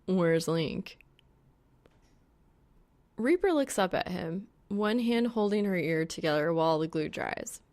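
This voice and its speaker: A woman reading fan fiction as an audiobook narrator, in an expressive, scripted storytelling style. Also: mid-range voice, normal speed, few pauses.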